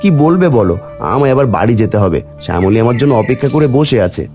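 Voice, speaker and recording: Animated, earnest poor farmer, played by a man. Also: medium pitch, brisk, faint music behind, close to an everyday voice. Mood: guarded. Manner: earnest, emphatic. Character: poor, honest, devout, proud village farmer. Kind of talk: cartoon voice acting.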